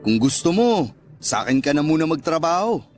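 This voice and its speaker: A man playing a smooth, flattering restaurant owner in a Filipino radio romance drama, with a casual, teasing way of talking. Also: a little high, brisk, soft background music. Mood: persuasive, suave.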